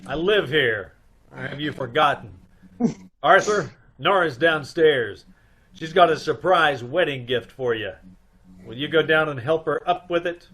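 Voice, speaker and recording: A man's voice: a little high, expressive, measured pace, fairly bright tone, husky, heavily acted. A man playing a wry, cool private detective with a dry, teasing manner, in an old-time radio mystery.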